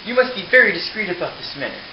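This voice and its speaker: A man plays a scheming young wife in a puppet show, very high in pitch, dramatic and cautioning. The room echoes and there is clear noise behind. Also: very animated, very fast. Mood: secretive, anxious. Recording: echoey, dull, noisy room recording.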